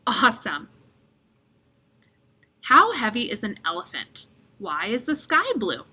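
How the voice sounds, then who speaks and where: Animated, bright-voiced woman reading a storybook. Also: medium pitch, slightly rough. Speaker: storybook reader. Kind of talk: expressive children's read-aloud.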